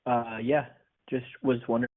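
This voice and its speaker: A man's voice: medium pitch, flat delivery, normal speed. A man on a phone call giving brief, hesitant replies to a salesperson. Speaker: sales prospect. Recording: tinny phone-line recording.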